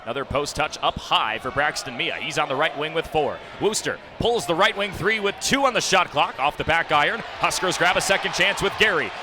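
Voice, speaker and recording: A young man calling live basketball play-by-play on the radio with quick, descriptive calls that can rise to an excited shout. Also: fairly high pitch. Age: young adult. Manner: rapid descriptive calls, rising to excited shouts on big plays. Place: indoor basketball arena. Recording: noisy arena broadcast with bouncing balls. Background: loud game noise, basketball bounces.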